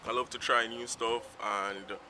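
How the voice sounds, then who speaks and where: A man with a Jamaican accent talks loosely to his own camera outdoors, letting his thoughts ramble on without a script. His voice is very bright and middle-pitched, and faint street traffic drifts in behind him. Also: fairly even intonation, a little slow, rough recording. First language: Jamaican Patois. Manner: rambling, unscripted, free-flowing. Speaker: vlogger. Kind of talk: casual talk to camera. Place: outdoors in town, in the sun. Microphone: self-filmed camera.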